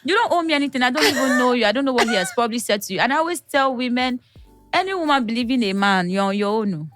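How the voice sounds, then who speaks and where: A woman's voice: on the high side, fast talker, soft background music. A young woman, a podcast guest telling personal stories emphatically. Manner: expressive, emphatic storyteller. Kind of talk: casual chat.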